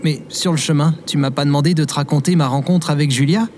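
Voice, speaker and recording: A man's voice: on the high side, steady tone, fast, noisy background, natural voice. A man playing a good-natured, bewildered everyman survivor in an audio drama, with a hesitant, naive way of talking.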